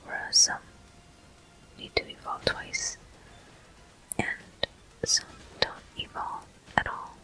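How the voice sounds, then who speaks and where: A soft ASMR whisper guides the listener through a video game. The voice is breathy, very bright and sibilant, with almost no voiced tone. Phrases come short and deliberate, unhurried, as a host would narrate gameplay.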